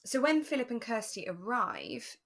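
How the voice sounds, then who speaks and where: A woman co-hosting a podcast, talking in a relaxed, thoughtful and explanatory way about a drama. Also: fairly high pitch, moderate pace, gravelly. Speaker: podcast co-host. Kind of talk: relaxed discussion of a radio drama.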